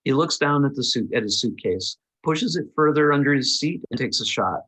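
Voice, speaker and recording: A man's voice: mid-range voice, lively intonation, normal speed, a bit bright, husky, low-quality recording. A man narrating the stage directions of a screenplay at a table read, in a scripted style.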